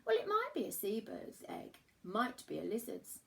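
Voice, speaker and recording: Woman reading as a gentle, creaky old grandfather. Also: fairly high pitch, huge pitch swings, moderate pace, heavily acted. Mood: gentle concern. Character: kindly, thoughtful old grandfather in a children's storybook.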